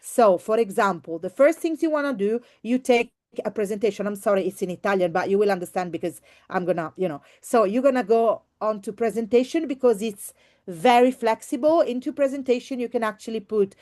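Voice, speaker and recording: A woman's voice: on the high side, brisk, a little mellow. A woman teacher giving an informal online workshop, speaking expressively and effusively and repeating words for emphasis. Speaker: teacher and trainer presenting.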